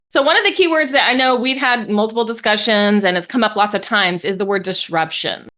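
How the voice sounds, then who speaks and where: Podcast host, woman, high and very lively voice, upbeat and chatty. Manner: upbeat, chatty, laughs easily. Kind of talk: podcast interview.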